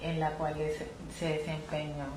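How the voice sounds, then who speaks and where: A woman student interviewer asking formal, somewhat halting questions. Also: low-pitched, completely flat delivery. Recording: echoey room with background noise.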